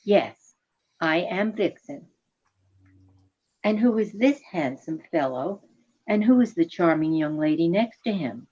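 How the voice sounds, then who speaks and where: Woman as genteel, low-voiced lady reindeer, unhurried. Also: animated, dark tone, husky, dull audio. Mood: solicitous. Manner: genteel, effusive, endearments. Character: gracious, affectionate female reindeer Vixen. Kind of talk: radio play reading.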